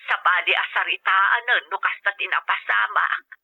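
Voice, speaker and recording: Through a dull, band-limited phone call comes a tender, worried older mother, played by a woman in an audio drama. She has a mid-pitched voice with a plaintive, fretful way of talking. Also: normal speed, creaky, husky. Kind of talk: scripted drama acting.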